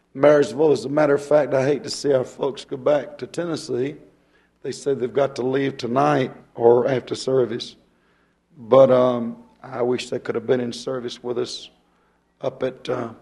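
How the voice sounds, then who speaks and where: A hoarse male pastor, talking folksy and rambling, then reading scripture in a rising preaching cadence. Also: medium pitch, darkish tone.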